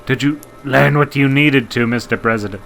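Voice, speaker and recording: A haunted visionary reverend colonel played by a man speaks in a raised, forceful voice. He is grave and deferential, halting yet fervent as a prophet, in radio-drama style over faint music. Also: medium pitch, gravelly, pitched above the performer's own voice. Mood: anxious concern.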